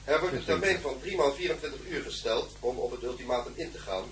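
An actor playing a formal, grave radio newsreader in a radio play, delivering scripted lines in a measured, official way. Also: male voice, mid-range voice, expressive, fairly bright tone.

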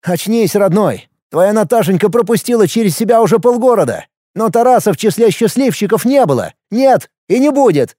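A man voicing an anxious, exasperated younger brother, a sharp-tongued medical student who scolds in an audiobook story. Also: high voice, animated, normal speed, no echo, clean studio sound, pitched above the performer's own voice. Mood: scornful, heated. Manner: agitated, scolding.

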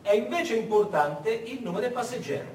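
Man, theatrical history narration, dramatic, rhetorical questions, animated intonation, distant echoey recording with faint noise. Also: extremely high pitch, moderate pace. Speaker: stage actor, storyteller.

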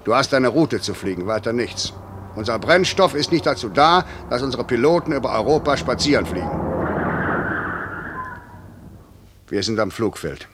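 An actor playing a stern, demanding middle-aged airline director in a 1950s German radio drama, giving clipped commands and impatient rebukes. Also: male voice, medium pitch, lively intonation, brisk, noisy background, close to an everyday voice. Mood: stern, reproving. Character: stern, demanding airline director, gruff but secretly fond. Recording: slightly muffled 1950s radio recording.

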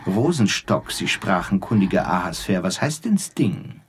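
An actor playing an inquisitive, wry hospital doctor in a radio play. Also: male voice, deep voice, very animated, a little slow, a little hiss or hum, full character voice. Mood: puzzled, probing. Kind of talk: German radio play acting.

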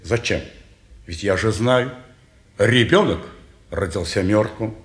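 A calm, persistent male suitor in a stage drama. His voice sits fairly low and he speaks in measured, insinuating phrases. The recording is an echoey 1950s theatre one. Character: calm, insistent, worldly man pursuing a former lover.

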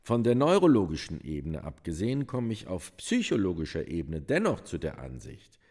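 An actor playing a pompous doctor dictating a psychiatric report in a German radio play, detached, officious and precise. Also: male voice, fairly low pitch, darkish tone, heavily acted.

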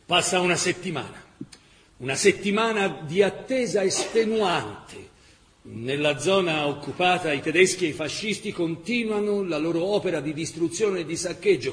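A man narrating a scripted radio drama in an impassioned, emphatic, literary way. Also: fairly high pitch. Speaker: actor narrator.

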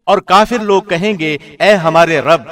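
A man reading a scripture translation from a script in a formal, solemn radio presenter's style with deliberate enunciation. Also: sits high, fairly bright tone. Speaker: translation reader, radio host.

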